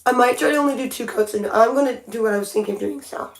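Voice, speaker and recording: A fairly high-voiced woman talks casually to her viewers while painting her nails at home. She thinks aloud and keeps restarting and correcting herself mid-sentence. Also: quick pace, vocal fry. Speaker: nail art livestreamer. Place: home by a window.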